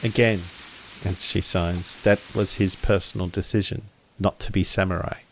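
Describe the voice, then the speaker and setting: A man acting as game master, narrating a tabletop roleplaying game in a deliberate, halting way and relaying what characters say. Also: low voice, steady tone, slight background noise, low-quality recording.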